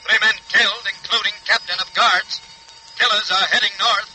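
An actor playing a police dispatcher, reading clipped, urgent official manhunt bulletins in a 1940s radio crime drama. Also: male voice, high-pitched, steady tone, moderate pace, sharp tone, faint music behind. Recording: faintly noisy 1940s radio recording.

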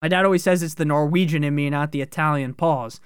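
A man hosting a fantasy football podcast, talking casually with profane, joking asides and sudden jumps in pitch on key words. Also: quick pace, clean tone, no room sound, clean studio sound.

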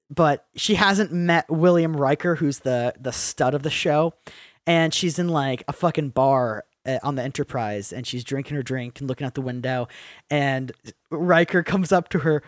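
A young man co-hosting a podcast, chatting casually, rambling, swearing freely and getting animated in bursts. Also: a little high, normal speed, no room sound, studio quality. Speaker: podcast co-host. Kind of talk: casual chat.

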